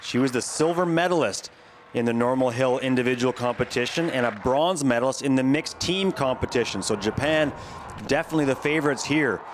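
A man giving measured play-by-play commentary on a ski jumping competition, speaking as a voice-over. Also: mid-range voice, noisy background. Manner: calm, measured play-by-play, lifting on big jumps. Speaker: sports commentator.

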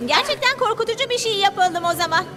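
A woman voicing a bold, excitable teenage heroine in a cartoon dub. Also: very high-pitched, quick pace, distant mic. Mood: playful, daring. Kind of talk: animated series dub acting. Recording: music and effects behind voice.

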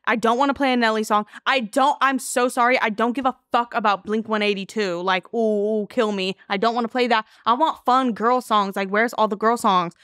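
A woman's voice: on the high side, steady tone, a little fast, hardly any pauses, fairly bright tone, clean tone, no room sound, studio quality. A woman in her twenties co-hosting a casual podcast, talking candidly with slang and swearing. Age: 20s. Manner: candid, slangy, heavy cursing. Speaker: podcast co-host.

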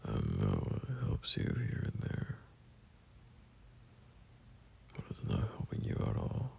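A man playing a gentle, caring boyfriend at bedtime in an intimate ASMR roleplay, speaking softly in a breathy half-whisper with hesitant pauses. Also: a bass voice, wildly swooping pitch, voice pushed down, heavily acted. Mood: worried, firm. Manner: soft, slow, hesitant, reassuring.

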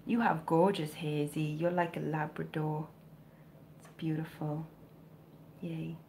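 A woman's voice: low-pitched, little pitch movement, slow pace, gravelly, warm tone, slight background noise. A woman from South Wales in her thirties with a mild Welsh accent, chatting casually and joking as she answers comments on a home live stream. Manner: chatty, self-mocking, jokey asides. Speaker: vintage clothing reseller, livestream host. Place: home bedroom with shelves, indoors. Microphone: webcam or phone close up.

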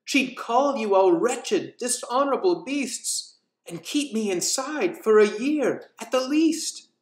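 A man's voice: animated, takes it slowly, full character voice. A man voicing a timid, sulky little girl from a storybook, plaintive and dramatic. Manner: plaintive, high-pitched pleading. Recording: roomy echo.

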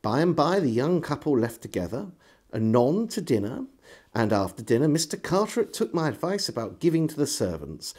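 A middle-aged man with an English accent reads an old diary aloud as expressive literary narration, in a measured, old-fashioned storytelling way. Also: mid-range voice, husky. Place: small indoor room lined with shelves.

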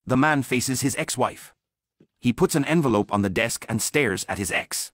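A man narrating a story in an even, matter-of-fact way, recorded as a close voice-over. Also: medium pitch, normal speed, dry recording.

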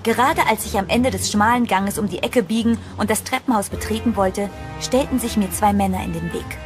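A woman's voice: mid-range voice, fairly quick, natural voice. An actress playing an anxious woman who narrates her own horror story in a dramatic, suspenseful radio play style. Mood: tense, suspenseful. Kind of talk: German horror audio drama, scripted first-person narration. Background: prominent music.

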